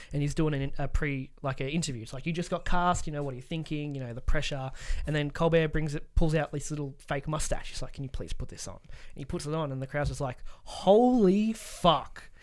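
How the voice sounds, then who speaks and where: A man with a slightly high voice, talking casually as a podcast co-host. He is blunt and enthusiastic, and swears freely as he chats. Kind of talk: casual chat.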